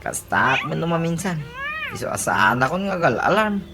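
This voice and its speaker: A woman's voice: low-pitched, big pitch swings, normal speed. A woman with an Ilocano accent playing an exasperated, complaining cousin in a comedy radio drama. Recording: clear background noise.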